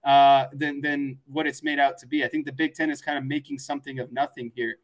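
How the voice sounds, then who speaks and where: A man's voice: medium pitch, little pitch movement, fairly quick. A man in his twenties with an American accent, casually discussing sports as a co-host and circling hesitantly around his points. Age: 20s.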